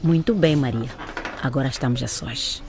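A woman's voice: rumbling low, moderate pace, background noise. An actress playing a stern, suspicious mother who interrogates and scolds her children in a radio drama. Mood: probing. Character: stern, suspicious mother of teenagers, quick to anger.

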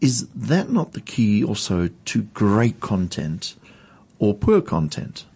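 Lively, husky man co-hosting. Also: fairly low pitch, slow pace. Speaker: podcast co-host. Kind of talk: podcast panel chat.

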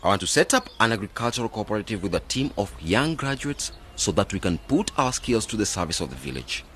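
A man's voice: fairly low pitch, big pitch swings, quick pace, fairly bright tone, a little hiss or hum. A man acting in a radio drama as an earnest, idealistic, determined young graduate planning a village project. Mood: earnest, explaining.

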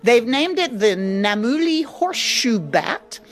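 A woman hosting a scripted radio programme in a chatty, expressive way. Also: fairly high pitch, slow. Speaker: radio show host. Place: radio studio.

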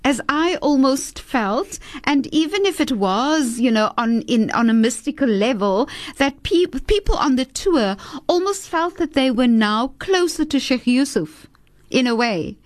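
Female radio host, measured and thoughtful, a touch high, dry studio. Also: expressive, no echo. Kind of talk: radio interview.

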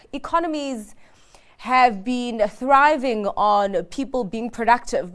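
A woman hosting a news interview who asks probing questions with frequent hesitations. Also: on the high side. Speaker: interviewer host.

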